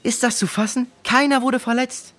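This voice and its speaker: A man playing a curious, excitable young boy, eager and plain-spoken, in a children's audio drama. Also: very high-pitched, normal speed, faint music behind. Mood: excited.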